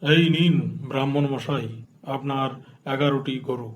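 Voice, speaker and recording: An actor playing a generous, pious king in a cartoon, speaking courteously in stately, deliberate phrases. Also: mid-range voice, steady tone, faint music behind, lightly acted. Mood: gracious. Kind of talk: cartoon dialogue.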